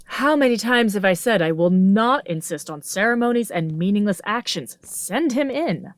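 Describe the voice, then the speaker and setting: A woman's voice: mid-range voice, animated, moderate pace, no room sound, natural voice. A woman playing an earnest, unpretentious queen in a fantasy audio drama, plain-spoken and firm. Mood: firm, impatient. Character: earnest, unpretentious warrior queen, impatient with ceremony.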